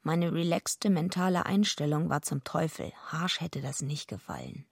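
Radio drama lead: tough female loner, blunt and deadpan, heavily creaky voice, dry close sound. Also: deep voice, moderate pace, no echo, exaggerated voice. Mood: dry narration. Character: tough, streetwise, defiant female loner narrating her story. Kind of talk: radio drama acting with first-person narration.

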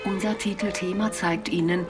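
A lowish female computer read-out voice acted by a woman, delivering scripted marketing copy in a radio play. Loud music plays behind it. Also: steady tone, moderate pace, lightly acted. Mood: impersonal, promotional. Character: computer screen-reader voice reading bank flyer copy.

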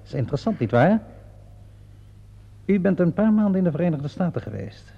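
Een acteur speelt in een hoorspel een sluwe, vasthoudende inspecteur die nadrukkelijk en schijnbaar beleefd ondervraagt. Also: male voice, high-pitched, expressive, gravelly, breathy edge, exaggerated voice. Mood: methodical, pressing. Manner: insinuating, theatrically deliberate, mock-polite questioning. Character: shrewd, relentless male police inspector cornering a suspect. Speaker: actor playing a police inspector. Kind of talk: radio play acting. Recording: dull, muffled vintage radio play recording.

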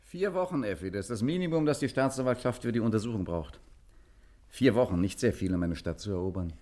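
A man plays an ambitious gentleman gangster in an old German radio satire. He talks quickly in a lowish voice, suave and breezy, treating villainy as a matter of fact. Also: animated, lightly acted. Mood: breezy, mock-weary. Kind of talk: 1950s German radio comedy crime drama acting.

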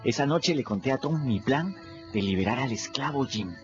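An actor with a Peruvian Spanish accent playing a quick-witted young boy who tells his own story in a folksy first-person manner in a radio drama. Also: medium pitch, lively intonation, over music, lightly acted. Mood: storytelling. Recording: dull lo-fi radio recording.

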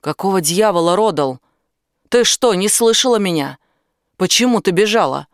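Woman reading as bossy protective outdoorsy guy in an audiobook, blunt, knows his stuff, mid pitch, dry sound. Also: lively intonation, moderate pace, dry recording, lightly acted. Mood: angry, alarmed. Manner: blunt, commanding, explanatory. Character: angry, protective British man, outdoorsy and knowledgeable. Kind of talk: audiobook character dialogue.

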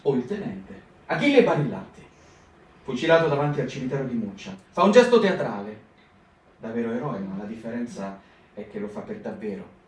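A man performing a dramatic staged reading for a radio broadcast, with slow, broken phrasing and long pauses. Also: mid-range voice, big pitch swings, slight background noise. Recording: roomy radio broadcast with guitar music, from far off.

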